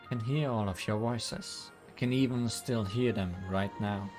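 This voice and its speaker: A commanding woman sage delivers a measured, oratorical address to her followers in a fan-dubbed cartoon, as a man voices her. The voice sits fairly low and steady, and soft music plays faintly behind. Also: natural voice. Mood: rousing. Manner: solemn, oratorical, measured speechmaking.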